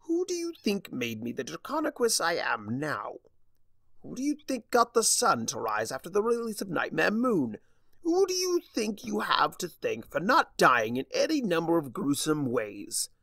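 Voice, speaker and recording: Casting: male narrator as pompous, vengeful chimera trickster for a storybook, gravelly, taunting, wildly varied pitch. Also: wildly swooping pitch, moderate pace, a bit bright, no echo, full character voice. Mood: indignant scolding. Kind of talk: dramatic fan fiction audiobook reading.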